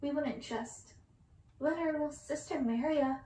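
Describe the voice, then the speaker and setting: A woman's voice: high-pitched, normal speed, mellow, reverberant, distant mic, a little hiss or hum, natural voice. A woman voicing cruel, accusing witch sisters who take gleeful menace in a storybook tale.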